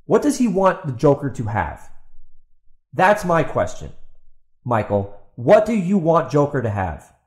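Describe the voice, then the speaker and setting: A man's voice: a little high, very animated, a little slow. Exasperated man ranting, echoey room. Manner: combative, exasperated, repeats himself, blunt with swearing. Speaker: podcast host. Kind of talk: ranting solo commentary, partly reading out a post. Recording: roomy, echoey sound.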